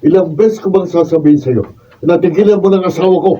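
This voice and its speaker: An actor playing a middle-aged husband in a melodramatic Filipino radio drama, desperate, pleading and jealous. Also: male voice, high-pitched, fast talker, slightly rough. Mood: jealous anger. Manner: melodramatic, emphatic. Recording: muffled, distant microphone.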